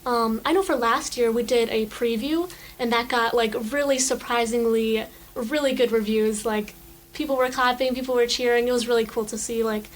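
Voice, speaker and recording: A woman's voice: fairly high pitch, fairly even intonation, moderate pace, a little hiss or hum, rough recording. A teenage girl, a student actor, talking in a casual, upbeat interview with many fillers. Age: teens.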